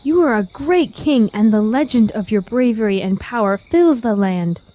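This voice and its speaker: A woman's voice: a little high, animated, moderate pace, warm tone, lightly acted. A woman playing a naive, yearning young traveler in a fantasy audio drama, speaking in a wide-eyed, wistful, formal way. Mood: awed.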